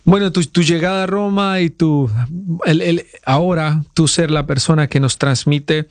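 A man's voice: a little high, fairly even intonation, moderate pace, no room sound, clean studio sound. A man hosting an interview, introducing his guest and asking questions in a welcoming way. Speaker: interview host. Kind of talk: friendly broadcast interviewing.

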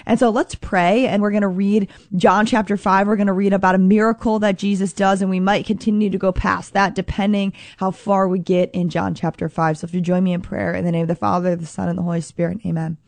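A woman hosting a faith radio show, earnest and upbeat, with a slightly scratchy, hoarse voice. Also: medium pitch, level tone, fairly quick, few pauses, a little mellow, no room sound. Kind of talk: prayerful scripture talk.

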